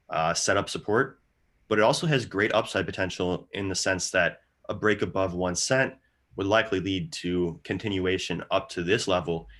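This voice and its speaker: A man working as a market analyst, giving explanatory live chart commentary in short, measured phrases. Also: low voice, a bit bright.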